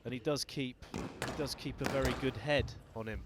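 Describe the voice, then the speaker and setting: A man giving casual, joking commentary on a squash match over loud court noise. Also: mid-range voice, steady tone, normal speed. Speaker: sports commentator. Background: loud ball hits and court clatter.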